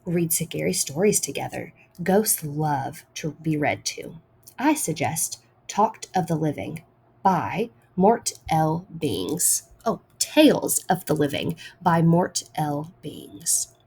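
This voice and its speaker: A woman reading a picture book aloud to children in a deliberate, expressive storytime style. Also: low voice, creaky, slight background noise.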